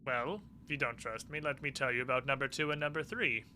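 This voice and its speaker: A man's voice: medium pitch, fairly even intonation, fast, pushed and bright, slight background noise. A man voicing a smug, flippant former military villain turned uneasy ally, paraphrasing game dialogue in a casual, mocking way. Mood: breezy explaining.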